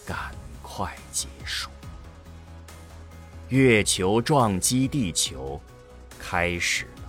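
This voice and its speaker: A man narrating a science fiction audio drama in a theatrical, solemn way, with deliberate pauses. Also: fairly low pitch.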